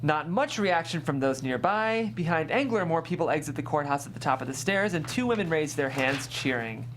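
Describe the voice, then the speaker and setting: A woman hosting and narrating, speaking in a clear presenter's way as she reads or introduces. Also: low-pitched, expressive, a little fast, noisy background. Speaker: host and stage-directions narrator. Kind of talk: scripted narration and interview hosting.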